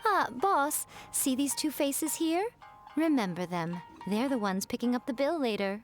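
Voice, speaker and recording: Sly fox-spirit lady for a game: highish, very lilting voice, elegant, smug teasing, light music underneath. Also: lively intonation. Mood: smug, inviting. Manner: teasing, self-satisfied, drawn-out flourishes. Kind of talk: video game voice acting.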